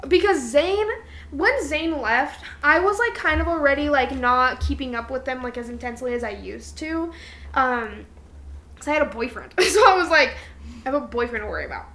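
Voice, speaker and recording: A young adult woman co-hosting a podcast in casual chat with a friend, with an animated, exclamatory, candid way of talking and casual swearing. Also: high voice, normal speed. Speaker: podcast co-host.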